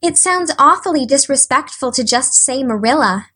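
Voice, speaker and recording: A woman's voice: sits high, moderate pace, fairly bright tone, voice pushed up. A woman voicing an eager, imaginative young orphan girl who speaks in a breathless, pleading, wide-eyed way. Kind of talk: audiobook character voice.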